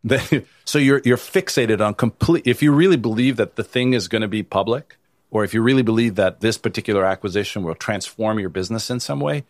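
A man's voice: on the low side, brisk, dry recording. A man born in Italy, speaking as a guest investor in an interview, casual and full of restarts. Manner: casual, frequent restarts and "you know". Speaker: venture capital investor, guest. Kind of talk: conversational interview answers.

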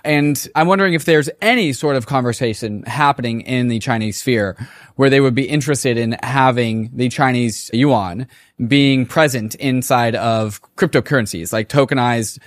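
A man's voice: mid-range voice, normal speed, dry recording. American man, dry close podcast sound. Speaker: podcast co-host, interviewer. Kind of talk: conversational podcast questioning and commentary.

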